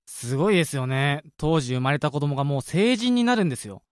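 Easygoing higher-voiced man in radio drama, acted. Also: big pitch swings, fast talker, clean tone, no room sound, clean studio sound. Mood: light. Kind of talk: amateur radio drama dialogue.

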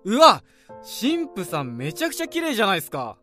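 An actor in a Japanese radio drama playing a cheeky, chatty young junior colleague in a breezy, teasing way. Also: male voice, high voice, huge pitch swings, brisk, bright, forceful tone, faint music behind, heavily acted. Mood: curious, teasing. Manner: breezy, teasing, polite-casual. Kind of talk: casual radio drama dialogue.

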